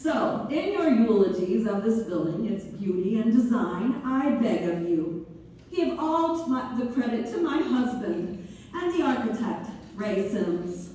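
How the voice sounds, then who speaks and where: A woman playing a gracious, wryly humorous benefactor delivering a measured, playful dedication speech in a staged reading. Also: on the high side, very animated, mellow. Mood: humble, playful. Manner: measured, deliberate, playful oratory. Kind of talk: theatrical read-through of a formal speech. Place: theater hall, live staged reading. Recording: echoey hall from far off, faint noise.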